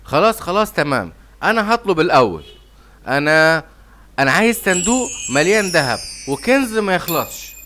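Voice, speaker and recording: A man's voice: a little high, very animated, a little fast, pushed and bright. A man voicing an obliging, hasty father lion in a cartoon, with an Egyptian Arabic accent. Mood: eager. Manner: hasty, eager to please. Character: hurried, obliging father lion, king of the jungle.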